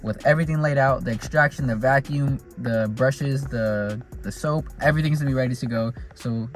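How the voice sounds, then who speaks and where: A man's voice: medium pitch, fairly quick, faint music behind. A man casually narrating a car vlog in a laid-back, rambling way with plenty of slang, recorded in and around his car at home. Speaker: car vlogger.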